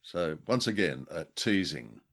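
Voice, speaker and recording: A mature man with a slightly low voice chats as a co-host. His pitch swings widely and his phrasing comes in animated, halting bursts. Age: middle-aged or older. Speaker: podcast co-host. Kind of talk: casual discussion of old-time radio.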